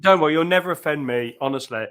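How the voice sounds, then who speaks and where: A man's voice: fairly high pitch, fast talker, fairly bright tone. An English man in his fifties hosting a casual, chatty conversation over drinks on a video call. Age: 50s. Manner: chatty, informal. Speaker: host of a chat show. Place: small bar room, indoors.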